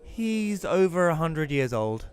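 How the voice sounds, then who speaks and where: A man's voice: fairly high pitch, very animated, slow, clean tone. A man playing an awkward, apologetic widower telling his story in an audio drama, with a hesitant, self-conscious manner. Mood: wry. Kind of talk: first-person audio drama narration and dialogue.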